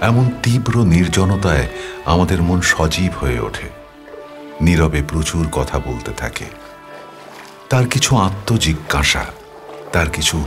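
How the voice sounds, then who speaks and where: A man narrating an audio story as a wistful young man writing a confessional love letter, speaking in a reflective, intimate way over music. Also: sits low. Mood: wistful, longing. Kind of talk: first-person dramatized storytelling, read as a letter.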